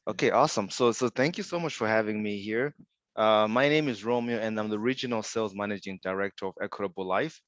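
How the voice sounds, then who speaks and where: A man's voice: on the low side, fast talker, fairly bright tone, no room sound, lo-fi sound. A young man from Zimbabwe giving an upbeat webinar presentation, telling stories with asides. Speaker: insurance sales director presenting.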